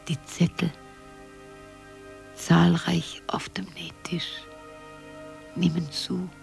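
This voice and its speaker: An actress playing a wistful old woman in a slow, halting, poetic radio monologue with a gravelly, breathy voice. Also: lowish voice, level tone, whispered, soft background music, heavily acted. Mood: wistful, brooding. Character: wistful old woman remembering her small town.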